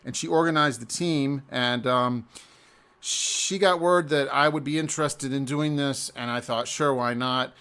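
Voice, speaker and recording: A man's voice: mid-range voice, steady tone, a bit bright. Easygoing man rambling through a recap. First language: English. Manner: easygoing, rambling, often pauses and restarts mid-sentence. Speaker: amateur cyclist reviewing an event. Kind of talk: casual screen-share walkthrough.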